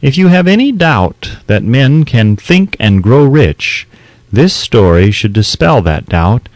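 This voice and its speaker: Man narrating with lively, swinging intonation. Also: medium pitch, slow, dry recording. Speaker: audiobook narrator. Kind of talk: scripted audiobook narration of a self-help book.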